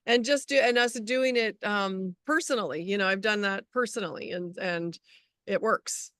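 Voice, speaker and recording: Mid-pitched lady host just chatting, agrees a lot, kinda halting, no room echo. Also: a bit bright, studio quality. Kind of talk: casual chat.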